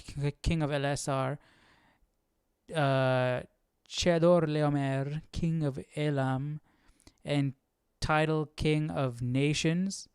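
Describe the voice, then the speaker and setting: Man, slightly high smooth voice, casual study host, informal, reads aloud then muses, close dry sound. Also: a little mellow, dry recording. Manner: informal, thinking aloud, often repeating and self-questioning. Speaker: host of a live Bible study. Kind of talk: casual study chat, reading aloud and commenting.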